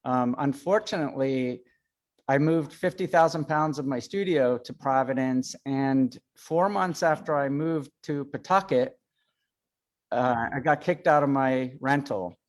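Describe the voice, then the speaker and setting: A man's voice: medium pitch, measured pace. A man from Chicago giving a casual lecture over a video call, hesitant with many fillers and self-deprecating. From Chicago, United States.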